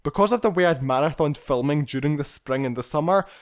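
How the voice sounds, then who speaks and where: A man's voice: fairly high pitch, fast talker. A man narrating a scripted personal retrospective as a video creator, speaking in a candid, reflective and articulate way.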